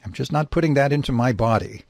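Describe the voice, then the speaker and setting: A man's voice: medium pitch, fast talker, gravelly, no echo, close to an everyday voice. A man voicing a squeamish male grizzly bear in a comic story, earnestly and indignantly explaining himself. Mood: firm, principled.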